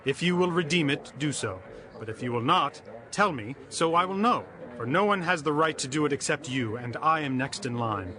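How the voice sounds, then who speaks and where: A man's voice: a little high, animated, normal speed, sharp tone. An actor playing a kindly, upright male landowner in dramatized scripture, earnest, declamatory and authoritative. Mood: businesslike.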